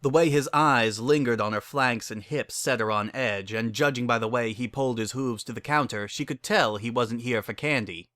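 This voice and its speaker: A man's voice: mid-range voice, normal speed, few pauses, a bit bright, no room sound. A man reading a scripted story aloud as a dramatic narrator.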